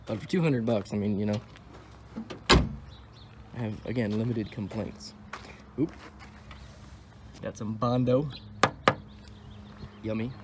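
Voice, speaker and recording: A young man talking casually while he works over a cheap car, thinking out loud and tossing in sarcastic jokes. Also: medium pitch, very noisy. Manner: wisecracking, sarcastic asides; offhand, thinks aloud while working on a car. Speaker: car vlogger. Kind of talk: casual vlog commentary.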